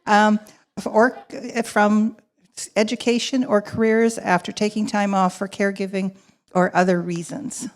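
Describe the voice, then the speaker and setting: A woman moderating a panel with a handheld mic in a ballroom, putting questions briskly and in a friendly way. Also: medium pitch, flat delivery. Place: hotel ballroom stage.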